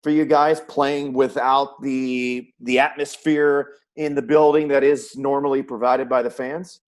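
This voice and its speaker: A man's voice: medium pitch, little pitch movement, measured pace. A middle-aged American man hosting a conversational sports interview. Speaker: sports interview host, play-by-play announcer.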